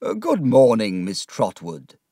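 A man's voice: medium pitch, wildly swooping pitch, measured pace, mellow, dry recording, heavily acted. A man voicing a large, polite older male lawyer who answers courteously and obligingly. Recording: dry, distant from the mic.

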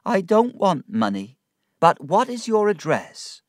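Man voicing a desperate, high-pitched poor young woman. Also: huge pitch swings, takes it slowly, dry recording, clean studio sound, heavily acted. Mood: grateful, earnest. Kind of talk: audiobook character acting.

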